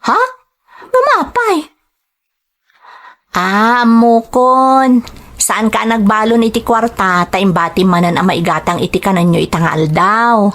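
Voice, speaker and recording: A woman's voice: medium pitch, animated, slow pace, long pauses, a bit bright. A woman playing a friendly young female student in an audio drama, perky and playfully insistent. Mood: friendly, curious.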